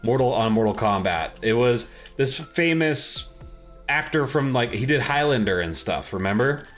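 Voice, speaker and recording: A man's voice: medium pitch, lively intonation, moderate pace, fairly bright tone, faint music behind. A man co-hosting a podcast, talking in an informal, enthusiastic way about films and games. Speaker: podcast co-host.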